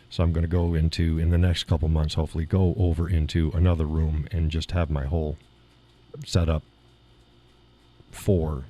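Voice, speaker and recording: A man hosting a live stream in casual, rambling chat, with a slight gravel in his voice and chuckles between remarks, recorded in a home studio through a mixer board. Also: deep voice, warm tone. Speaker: live show host. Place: home studio in a weight room. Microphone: studio mic through mixer board.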